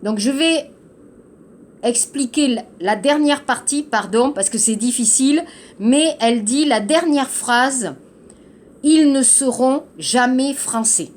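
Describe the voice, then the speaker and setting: A woman teaching to learners, explaining a text slowly and patiently, repeating key phrases and checking understanding. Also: a little high, expressive. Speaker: French teacher. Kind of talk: slow classroom explanation to learners.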